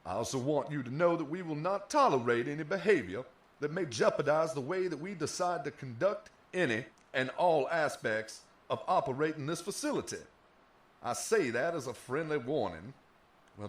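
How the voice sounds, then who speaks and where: An actor playing a sneering, condescending authority figure in an audio drama, delivering veiled threats with mock friendliness. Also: male voice, a little high, a bit bright. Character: sneering, smug, menacing male authority running a prison.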